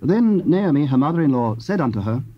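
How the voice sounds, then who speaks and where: A man's voice: on the high side, big pitch swings, brisk. A man giving solemn, scripted narration of scripture. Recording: dull, lo-fi old cassette.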